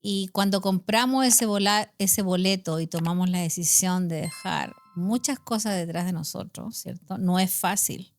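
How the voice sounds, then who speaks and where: A woman's voice: medium pitch, normal speed, hardly any pauses, no echo. Host, woman, middle-aged, Chilean accent, conversational storyteller, dry studio sound. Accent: Chilean Spanish. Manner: chatty, storytelling, frequent tag questions. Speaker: podcast host. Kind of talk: casual conversational chat.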